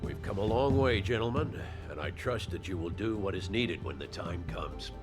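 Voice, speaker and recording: An actor playing a solemn, resolute middle-aged American colonel in a military game cutscene. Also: male voice, deep voice, lively intonation, moderate pace, over music. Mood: grave resolve. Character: grave, steadfast veteran American army colonel rallying troops. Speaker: army colonel.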